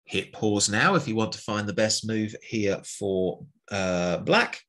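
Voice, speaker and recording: Deep, husky chess teacher. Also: male voice, animated, slow, a bit bright. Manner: chatty and playful, calls out moves one by one. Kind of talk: chess lesson narration over an on-screen board.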